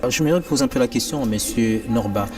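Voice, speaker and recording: A man's voice: medium pitch, brisk. Probing male TV host. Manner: probing, hesitant questions with frequent backchannel. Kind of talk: political TV interview. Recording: distant mic with music behind. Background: clear background music.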